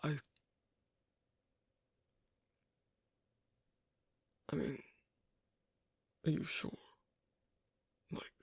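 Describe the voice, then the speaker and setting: A performer voicing a shy, awkward, asocial young werewolf in an intimate ASMR roleplay, speaking softly and haltingly in a breathy, husky near whisper. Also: no room sound, studio quality, natural voice. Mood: flustered, earnest. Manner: hesitant, halting, soft-spoken with long pauses.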